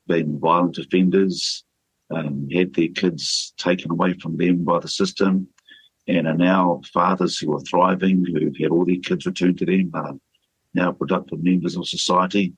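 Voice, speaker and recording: A man's voice: low voice, breathy edge, no room sound, rough recording. A man leading a church, speaking earnestly and steadily in a radio interview, with fillers and restarts. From New Zealand. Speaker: church leader.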